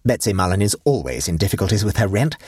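A man's voice: on the low side, big pitch swings, quick pace, a little mellow, breathy edge, dry recording, clean studio sound. A man reading an audiobook and voicing a severe, pompous middle-aged aunt who lectures sternly.

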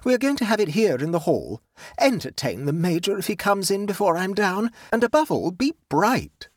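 A man's voice: high-pitched, big pitch swings, moderate pace, no echo, studio quality, voice pushed up. A man voicing an imperious, self-satisfied middle-aged English country hostess who instructs haughtily. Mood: brisk, commanding.

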